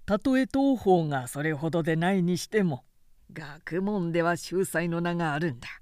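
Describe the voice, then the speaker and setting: A woman's voice: fairly low pitch, expressive, moderate pace, darkish tone, smooth, no echo, clean studio sound, pitched below the performer's own voice, lightly acted. A woman reading as a proud, grumpy old samurai father-in-law in a story, muttering with weary restraint. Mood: stubborn resolve. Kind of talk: dramatic audiobook character reading.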